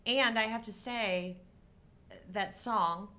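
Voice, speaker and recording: A woman's voice: medium pitch, takes it slowly, sharp tone. A middle-aged woman co-hosting a live show, chatting casually about films. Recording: faint background noise.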